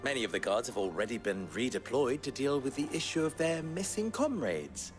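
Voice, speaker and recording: A man's voice: medium pitch, sing-song, fairly quick, faint music behind, natural voice. A man playing a sly teasing fixer. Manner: dry, teasing, sardonic. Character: wry sly male merchant fixer, teasing. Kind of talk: video game cutscene acting. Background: game score.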